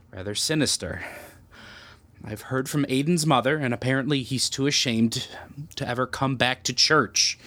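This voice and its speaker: Young priest in an audio drama, gentle and patient, talks slow, careful with his words. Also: mid-range voice. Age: young adult. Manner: gentle, measured, tactfully probing.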